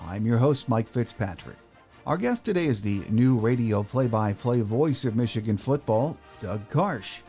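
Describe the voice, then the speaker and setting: Man, American accent, sports podcast host, dark mellow timbre, scripted intro style, light music. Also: medium pitch, expressive, moderate pace.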